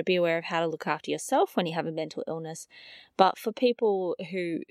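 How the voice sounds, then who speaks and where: Brief: woman podcaster, slightly low voice, bit raspy and nasal, earnest and chatty, dry close mic. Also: no echo. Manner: earnest, chatty with frequent fillers. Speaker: podcast host. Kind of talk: podcast episode introduction.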